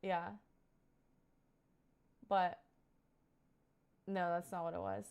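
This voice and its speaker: A woman's voice: on the low side, level tone, extremely slow, clean tone, muffled recording. A woman in her twenties talking casually on a live stream, answering viewer questions in a rambling, earnest way full of fillers. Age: 20s.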